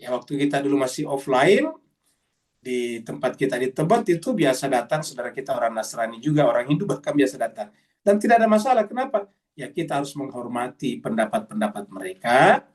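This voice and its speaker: A man's voice: medium pitch, sing-song, brisk, recorded from a distance. A middle-aged man teaching a Quran study session, explaining Arabic phrase by phrase with frequent "ya" tags. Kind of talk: religious study lecture. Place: study gathering, online session.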